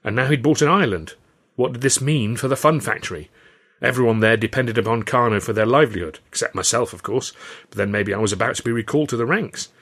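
A man's voice: mid-range voice, fast talker, a bit bright. A man with an English accent reading a scripted story aloud as an audiobook narrator.

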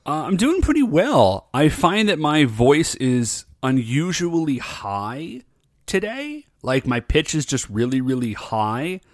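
A man's voice: on the high side, very animated, takes it slowly, no echo, clean studio sound. A man co-hosting a casual podcast, laid-back and playful, often agreeing and laughing along. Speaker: podcast co-host. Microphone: recording headset.

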